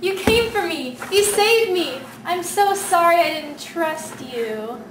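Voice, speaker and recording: A woman's voice: very high voice, animated, slow, exaggerated voice. A woman acting a sweet, naive, sheltered young princess in an amateur stage musical. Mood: grateful. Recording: echoey room from far, faint noise.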